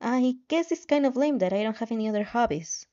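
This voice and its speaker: A woman's voice: a little high, lively intonation, brisk, mellow, dull audio, lightly acted. A woman voicing a shy, earnest teenage boy who is a bashful, eager hero fan in a fan-made audio drama. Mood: bashful, self-conscious. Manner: bashful, self-deprecating, eager.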